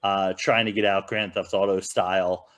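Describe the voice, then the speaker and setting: A man's voice: sits low, little pitch movement, moderate pace, a bit bright. A man giving casual opinions as a podcast panelist, in a rambling, hedging way. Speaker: podcast panelist reviewing films.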